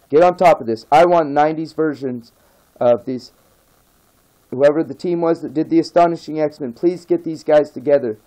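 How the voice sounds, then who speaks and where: A man's voice: dark tone, low-quality recording. A man who grew up in the nineties, ranting casually and with passion as a vlogger, pleading over and over and stressing his points. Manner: pleading and repetitive, emphatic, rises in pitch when begging. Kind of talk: impassioned casual rant to camera.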